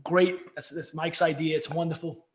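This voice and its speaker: A man's voice: on the high side, steady tone, moderate pace. A man co-hosting a podcast, chatting casually over beers in a rambling, jokey way that keeps interrupting itself. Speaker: podcast co-host. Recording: roomy echo.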